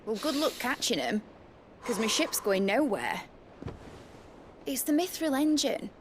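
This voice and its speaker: A cocky young captain and engineer from a fantasy game. Her voice is high and swoops freely, salty and boastful. A faint rumble sits behind her. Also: very animated, a little slow. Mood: wry, cocky. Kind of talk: lively fantasy game voice acting.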